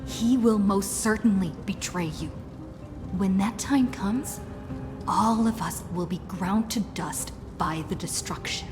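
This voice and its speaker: A woman's voice: mid-range voice, lightly acted. A woman playing an earnest, resolute young heroine in a video game, explaining solemnly and with care. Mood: solemn, urgent. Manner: measured, solemn, careful explaining. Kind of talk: dramatic video game voice acting. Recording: long reverberant hall. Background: clear music.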